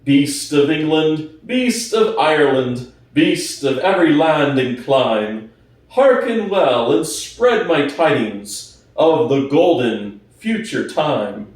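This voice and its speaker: A man voicing an aged, hoarse boar who gives solemn, fervent revolutionary speeches in a storybook reading, slowly and emphatically. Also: on the high side, big pitch swings. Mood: rousing, hopeful. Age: older. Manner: slow, emphatic oratory with weighty pauses. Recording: distant, very echoey room.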